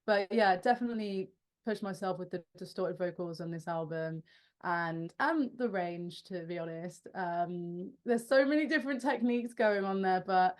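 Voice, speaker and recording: A woman's voice: on the low side, a little mellow. A young woman, the frontwoman of a rock band, answering interview questions in a chatty, self-deprecating way with frequent restarts and laughs.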